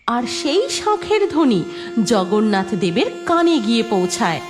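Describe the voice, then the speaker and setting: Lively woman narrating a cartoon story. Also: on the high side, unhurried, over music.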